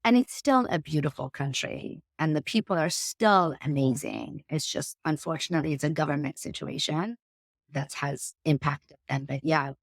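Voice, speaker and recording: A woman in her forties from Iran with a slight Persian accent, speaking as a guest in a podcast interview in an earnest, warm storytelling way. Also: low voice, normal speed, no echo, clean studio sound. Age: 40s. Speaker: company CEO, guest.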